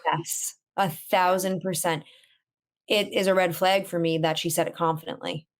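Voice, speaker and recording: A woman's voice: on the low side, normal speed. A woman with a New York accent, chatting emphatically as a guest on a podcast over a video call. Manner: chatty, emphatic, animated storyteller. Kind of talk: casual podcast chat.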